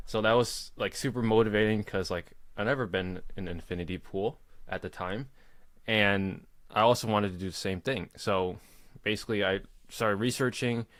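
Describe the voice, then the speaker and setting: A young man in his early twenties hosts a casual live question-and-answer stream, telling his story in a loose, rambling way. His voice sits on the low side. The sound is dry and close, with no room around it. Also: moderate pace, no echo. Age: 20s. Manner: relaxed, rambling with fillers and laughs. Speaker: host.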